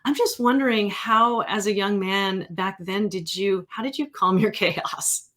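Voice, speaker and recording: A woman's voice: mid-range voice, a little slow, fairly bright tone. A woman hosting a podcast interview in casual chat, informally rephrasing as she builds up her questions.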